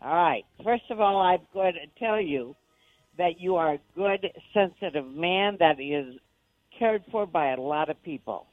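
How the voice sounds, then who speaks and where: A woman calling in as a radio psychic, giving slow, emphatic pronouncements. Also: lowish voice, no echo, soft background music. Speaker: radio psychic guest on the phone. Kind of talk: phone-in reading. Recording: tinny phone line.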